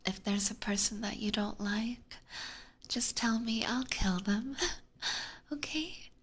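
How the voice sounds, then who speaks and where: A woman voicing an adoring, possessive vampire catgirl with sweet menace, whispering slowly and tenderly in an ASMR roleplay. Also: a little high, fairly bright tone, exaggerated voice. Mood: sweetly menacing. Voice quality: breathy whisper. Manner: slow, tender, coaxing.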